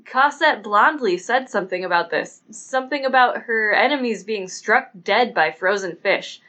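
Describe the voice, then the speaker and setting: Sharp female inspector, quick and incredulous, bright lively delivery from a woman. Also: mid-range voice. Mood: puzzled. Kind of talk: audiobook character reading.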